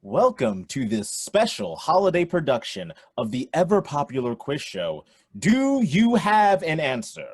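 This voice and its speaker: An actor playing a jovial quiz show host in a radio play, with theatrical announcer delivery. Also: male voice, on the high side, wildly swooping pitch, a little slow, husky, no room sound, heavily acted. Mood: showy, upbeat. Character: young man hosting a quiz show, jovial showman. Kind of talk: radio play acting, game show emcee patter.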